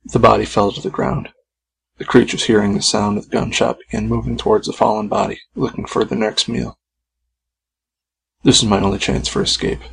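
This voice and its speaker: A man's voice: fairly low pitch, little pitch movement, fairly quick, creaky, husky, rough recording. A man reading a scripted first-person horror story as its author and narrator.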